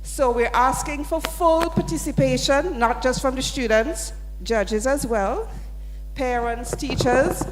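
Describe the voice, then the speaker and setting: A woman's voice: sits high, takes it slowly, faint music behind. Woman, upbeat, coaxing, audience address, long hall reverb. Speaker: sponsor representative presenting at a student event. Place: large hall.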